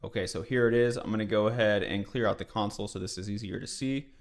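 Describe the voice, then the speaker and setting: A man narrating a screen-share software tutorial in a casual, conversational, explanatory way, as a developer advocate would. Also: fairly low pitch, fast talker.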